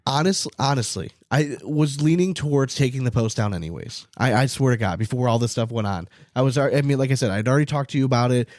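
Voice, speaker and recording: A man's voice: medium pitch, fast, few pauses, darkish tone, no room sound. Casual male podcast host, close dry sound. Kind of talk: casual chat.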